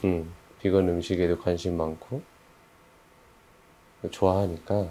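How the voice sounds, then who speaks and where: A man with an extremely low, soft-edged dark voice chats in a group. He comes across as agreeable and a little hesitant, feeling his way through his answers. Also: level tone, unhurried. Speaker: project team member being interviewed. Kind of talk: casual group discussion.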